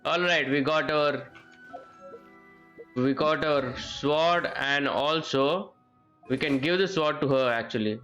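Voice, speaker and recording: A man's voice: a little high, takes it slowly, long pauses, fairly bright tone, muffled recording. Man rambling through live game commentary, music behind. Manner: rambling, thinking aloud, repeats phrases. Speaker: game streamer. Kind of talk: casual live gameplay commentary. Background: game music and character voices.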